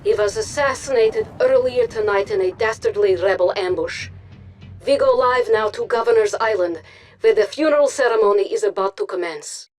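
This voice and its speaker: A woman's voice: mid-range voice, moderate pace, a little mellow, soft background music, natural voice. Propaganda newsreader played by a woman. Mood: grave, official. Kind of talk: formal news bulletin.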